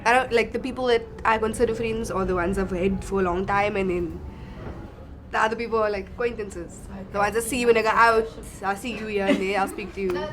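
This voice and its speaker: A woman's voice: mid-range voice, fairly even intonation, brisk. A young woman with a Cape Town South African accent, speaking bluntly and informally in a casual group chat. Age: young adult.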